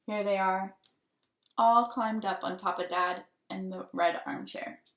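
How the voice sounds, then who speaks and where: A woman reading a children's picture book aloud with deliberate, dramatic emphasis and expressive delivery. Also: mid-range voice. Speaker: children's storybook reader. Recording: roomy, echoing room sound.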